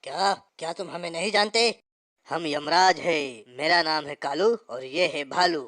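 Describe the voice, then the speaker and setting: A woman voicing a gruff, impatient male servant of the death god in a cartoon, bossy and scolding. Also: low voice, a little slow, a bit bright. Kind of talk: cartoon voice acting.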